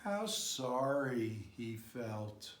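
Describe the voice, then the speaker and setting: A man reading a children's picture book aloud in an expressive, unhurried storytelling style. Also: medium pitch. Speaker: storybook reader. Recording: roomy, echoing sound.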